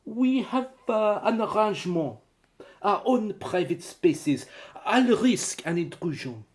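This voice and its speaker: An actor playing a wary, courteous stranded foreign man with careful, halting phrasing in a radio adventure. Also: high-pitched, animated, noisy background. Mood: guarded. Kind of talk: radio adventure drama acting.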